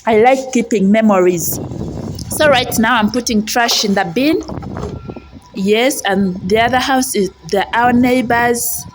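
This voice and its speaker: A woman's voice: on the high side, unhurried. A woman from Uganda with a Ugandan accent talks to viewers in a chatty personal vlog, often repeating phrases for emphasis. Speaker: vlogger and housemaid. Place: outdoors on a residential street. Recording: noisy outdoor ambient sound. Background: outdoor ambience noise.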